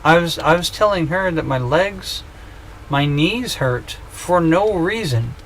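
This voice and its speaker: A man's voice: a little high, animated, slow, fairly bright tone, slight background noise, rough recording. A man in his thirties chatting casually on a gaming livestream, joking and rambling with self-mocking humor. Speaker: streamer.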